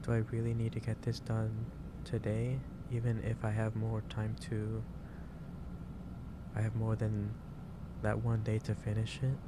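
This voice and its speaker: A man playing a gentle, concerned office boss in an ASMR roleplay, speaking haltingly and repeating his phrases. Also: fairly low pitch, monotone, heavy background noise, close to an everyday voice. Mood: gentle lecturing. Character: gentle, concerned male office boss lecturing an overworked employee. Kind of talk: improvised ASMR roleplay addressed to the listener.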